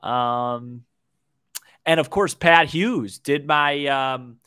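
A man in his twenties co-hosting a casual sports podcast, talking baseball in a halting way with repeated words. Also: medium pitch, bright, forceful tone, clean tone, no echo, clean studio sound. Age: 20s. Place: small indoor room, video call. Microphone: desk microphone, close.

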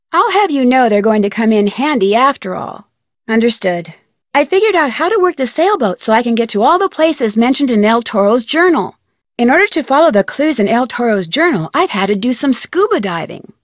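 A young American woman playing a plucky, determined detective in an adventure game, speaking earnestly and clearly. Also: on the high side, no echo, clean studio sound. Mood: matter-of-fact. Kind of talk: scripted game dialogue.

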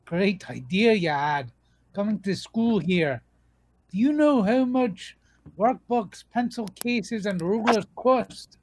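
A man's voice: high voice, lively intonation, slow pace, no room sound, exaggerated voice, rough recording. A man voicing a stingy, grumpy old duck uncle in a cartoon comic dub. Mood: grumbling.

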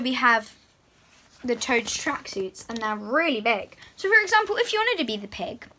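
High, bright young girl. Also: slight background noise. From England. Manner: excited, encouraging. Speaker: host of a children's read-aloud channel. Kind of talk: presenting to young children, reading a picture book aloud. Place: small room at home, indoors.

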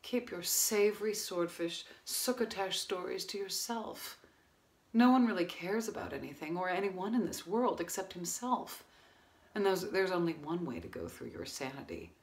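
A woman acting a worldly, blunt, cynical seductress in a stage monologue, speaking deliberately and quietly, often near a whisper. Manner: low-key, deliberate, cutting, often breathy near-whisper.

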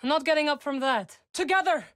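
A woman's voice: sits high, lively intonation, fairly quick, fairly bright tone, dry recording, studio quality. An actress voicing a proud young witch warrior in a video game, speaking in short, formal, commanding lines. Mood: satisfied, dry. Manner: formal, clipped, commanding phrasing. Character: fierce young witch warrior, proud, dry, loyal ally.

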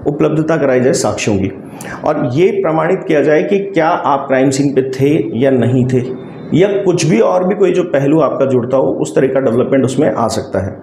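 Male legal explainer, forties, Indian accent, soft dark tone, instructive delivery, roomy echoing sound. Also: mid-range voice. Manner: didactic, uses English terms often. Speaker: legal advisor and video host. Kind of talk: explanatory legal talk to camera. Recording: roomy echo, faint background noise.

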